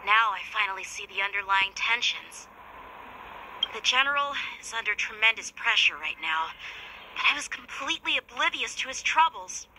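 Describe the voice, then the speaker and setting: A woman's voice: medium pitch, expressive, normal speed, sharp tone, slight background noise, low-quality recording. A woman voicing a polite, earnest and dutiful young boy in a video game, courteous and a little hesitant. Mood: earnest, dismayed. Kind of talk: English video game voice acting. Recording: music behind the voice.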